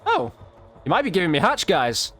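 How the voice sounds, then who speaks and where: A man's voice: sits high, huge pitch swings, moderate pace, a bit bright, husky, faint music behind. A man hosting a gaming video, giving chatty, informal commentary on his play and addressing his viewers directly. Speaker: gaming video host and player. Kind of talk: casual gameplay commentary.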